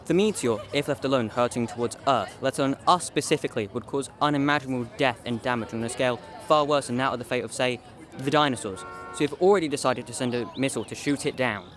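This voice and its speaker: A man's voice: medium pitch, quick pace, a bit bright, lightly acted. A young man playing a wordy, formal military commander being interviewed in a drama. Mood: authoritative. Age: young adult. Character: measured male military commander, formal and authoritative. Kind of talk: interview acting in youth drama.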